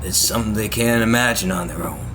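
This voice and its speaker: Actor as an easygoing, low-voiced American husband. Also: male voice, fast, close to an everyday voice. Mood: supportive. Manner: low-key, conversational. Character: supportive, easygoing husband of a politician, gently teasing. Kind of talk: audio drama acting. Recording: clear vehicle noise behind the voice.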